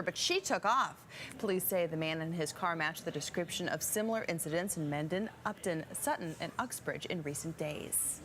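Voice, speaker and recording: Female news anchor, medium pitch, scripted newscast read with slight noise behind. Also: a bit bright.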